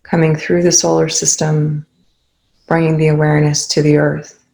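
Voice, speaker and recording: A woman guides a meditation in a low voice with very little melodic movement. Her delivery is calm and unhurried, with creaky fry in the tone. She leaves long pauses between short, gentle instructions. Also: rough recording. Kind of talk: guided meditation, spoken softly to listeners.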